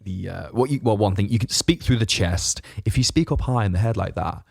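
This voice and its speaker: A man with a northern English accent co-hosting a podcast, laid-back and fairly monotone, chatting casually. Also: on the low side, brisk, gravelly, dry recording, studio quality. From northern England. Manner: self-described monotone, laid-back, says "mate". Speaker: podcast co-host, fitness coach.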